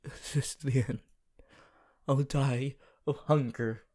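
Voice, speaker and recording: A man voicing a goofy, melodramatic teenage anime boy in a fan audio roleplay, whiny and drawn-out, talking to the listener. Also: mid-range voice, fairly even intonation, a little mellow. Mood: melodramatic despair.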